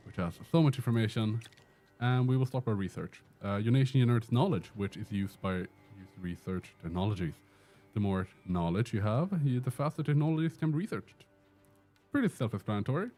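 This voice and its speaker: A man's voice: lowish voice, mellow. A man with a Swedish accent, chatting and joking through a live gameplay stream while answering questions from chat. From Sweden. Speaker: game streamer. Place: indoor room with a door.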